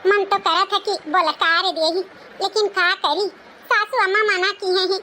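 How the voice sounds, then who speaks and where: An Indian village in-law from a family cartoon, acted by a woman, speaks Awadhi-accented lines in a childlike, extremely high voice. The tone is bright and piercing, with faint noise behind it. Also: steady tone, moderate pace. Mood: questioning, meek. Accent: Awadhi, northern India. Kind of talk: rural family cartoon dialogue.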